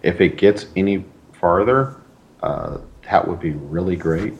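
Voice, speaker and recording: A man's voice: sits low, animated, a little slow, poor audio. A man speaks as an interview guest. He is a writer, and he chats in a modest, earnest, casual way.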